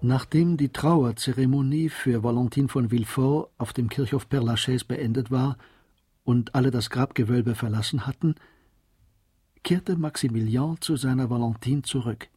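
Mellow, husky male narrator. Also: medium pitch, normal speed. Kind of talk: scripted literary narration in a radio drama.

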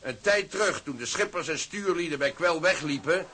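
An actor playing an older tugboat skipper in a radio drama, settled and resigned, speaking in a measured, knowing way. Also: male voice, fairly high pitch, expressive, sharp tone, slight background noise. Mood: firm, knowing.

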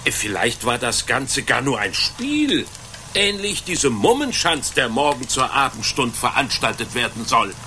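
A bemused blacksmith in a medieval radio drama. He grumbles hesitantly in a folksy way, with a bright, animated voice over faint ambience. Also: medium pitch, a little slow, slight background noise, exaggerated voice. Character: simple, puzzled, good-natured medieval blacksmith. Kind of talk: German radio play acting.

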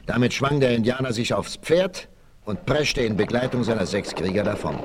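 A man's voice: medium pitch, expressive, normal speed. A man narrating a 1960s German radio play in a dramatic, scripted storytelling style. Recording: noisy old vinyl rip.

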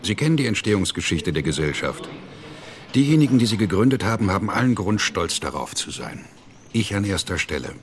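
A dignified company director in a radio crime play. His voice is very deep and quiet, and he chooses formal, measured words with a guarded air. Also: very animated, a little hiss or hum. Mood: guarded, proud. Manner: measured, soft-spoken, formal. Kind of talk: radio crime drama acting.

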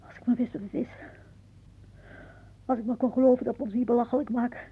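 An actress playing a fretful, suspicious older aunt with a sharp tongue in a radio play. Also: female voice, a little high, fairly even intonation, fast talker, slightly rough. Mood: fretful, resigned. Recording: muffled phone-like old radio recording.

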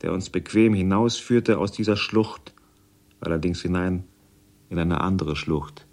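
A man's voice: sits low, fairly even intonation, unhurried, darkish tone, no room sound. A man reading literary prose for a radio feature. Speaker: literary reader.

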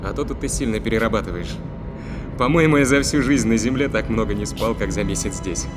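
A man's voice: lowish voice, a little fast, over music, close to an everyday voice. An actor playing an easygoing, teasing spaceship crewman in an audio drama, talking in a casual, bantering way. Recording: long hall reverb.

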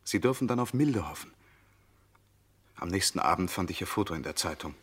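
Calm insinuating witness, low husky voice, measured, old school crime radio play. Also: male voice. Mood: accusing, controlled. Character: calm, insinuating male eyewitness Gachet confronting a suspect. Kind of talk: German crime radio drama acting.

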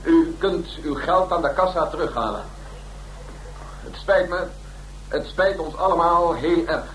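Een acteur speelt in een hoorspel een formele, verontschuldigende theateromroeper die beleefd en afgemeten spreekt. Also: male voice, fairly high pitch, a bit bright. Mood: formal regret. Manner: formal, measured, polite. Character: apologetic theatre announcer, man, formal and regretful. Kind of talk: formal stage announcement in radio drama. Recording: echoing hall, faint noise.

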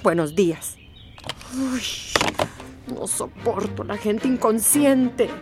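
A woman's voice: a little high, expressive, slow, music in the background. A woman playing a scornful, argumentative townswoman in a radio drama, haughty and exclamatory. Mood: disapproving. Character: scornful, indignant townswoman campaigning against migrants. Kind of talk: Spanish-language radio drama acting.

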